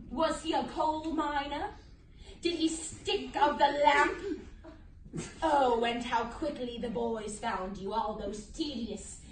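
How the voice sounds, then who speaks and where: A roomy recording of a woman playing a high-pitched puppet snail acting as a cultured cannibal doctor. The delivery is slow, theatrical and condescending, taunting with every drawn-out phrase, and sits a little far from the mic. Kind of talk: amateur dramatic reading with puppets. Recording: roomy, distant mic, faint noise.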